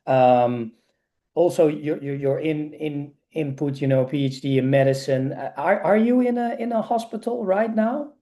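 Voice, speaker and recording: A man with a fairly high, very mellow voice moderates an online meeting. He talks casually and haltingly, doubling up on words as he hands over to participants. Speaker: meeting host. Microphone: video call.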